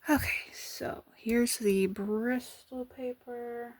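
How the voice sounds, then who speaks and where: A woman chatting softly as she draws for an ASMR video, thinking aloud with hesitant pauses and often slipping into whispers, close to the mic. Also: a little high, fairly even intonation, a little hiss or hum. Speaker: artist drawing on camera. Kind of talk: soft-spoken ASMR drawing chat.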